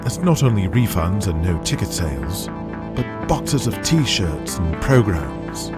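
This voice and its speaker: A man voicing a measured, businesslike male wrestling executive with a formal manner, in an audio drama. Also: deep voice, very animated, over loud music. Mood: concerned. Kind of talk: audio drama character acting.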